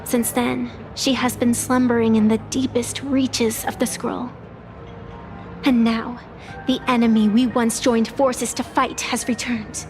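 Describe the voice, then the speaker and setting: High-pitched theatrical mysterious girl. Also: flat delivery, unhurried, faint music behind. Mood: grave, urgent. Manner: teasing, dramatic. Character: playful, theatrical young woman with secret powers. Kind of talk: scripted game dialogue.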